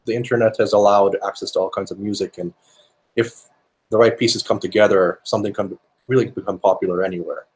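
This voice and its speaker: Low-voiced Finnish man, halting answers. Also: steady tone, husky. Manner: thoughtful, with many fillers and restarts. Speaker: musician guest. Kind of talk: conversational interview answers.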